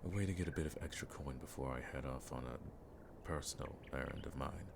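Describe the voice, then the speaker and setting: A man playing a seasoned, world-weary but dryly friendly monster hunter in a fantasy audio roleplay, speaking in a measured, wry way with old-fashioned phrasing. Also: background noise. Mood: relaxed, wry. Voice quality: very deep, gravelly.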